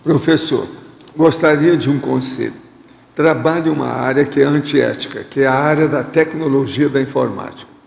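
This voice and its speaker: Gravelly Brazilian man in an echoey hall. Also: medium pitch, normal speed, a little mellow. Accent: Brazilian Portuguese. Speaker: host reading viewer questions. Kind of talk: studio Q&A, answering questions.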